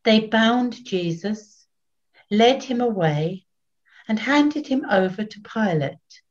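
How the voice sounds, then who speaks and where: A woman's voice: medium pitch, expressive. An older woman narrating scripture solemnly from a script, phrase by phrase, over a video call at home. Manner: measured, phrase-by-phrase reading. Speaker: narrator, Evangelist. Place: small room at home. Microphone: computer video call. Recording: dull lo-fi video-call audio.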